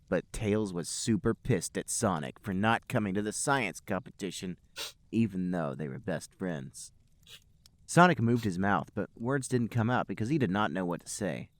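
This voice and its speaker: Low-voiced deadpan man, dry studio sound. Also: no room sound. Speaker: podcast co-host and reader. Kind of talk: comic read-aloud and casual banter.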